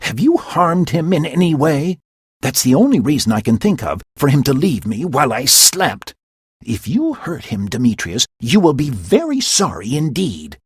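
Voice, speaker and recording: A man voicing a frightened, fierce young woman with a breathy voice and high, shrill outcries. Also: big pitch swings, normal speed, no echo, clean studio sound. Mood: demanding. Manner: high, shrill cries of alarm and accusation.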